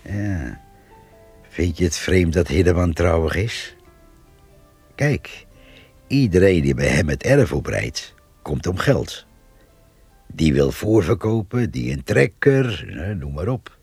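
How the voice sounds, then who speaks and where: A measured countryman in a radio drama monologue. His voice is very deep and gravelly, and he explains slowly with pauses and swinging intonation. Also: animated, a little mellow, dry recording, faint music behind, heavily acted. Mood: measured, matter-of-fact. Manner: deliberate, explanatory, pausing. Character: measured, knowing countryman describing a farmer.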